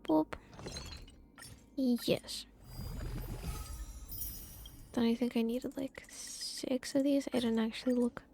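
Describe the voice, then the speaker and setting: A woman's voice: a little high, steady tone, slow, creaky, long pauses, dark tone, faint music behind. A woman giving casual live game commentary, playfully muttering to herself with little sound-word fillers. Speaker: streamer.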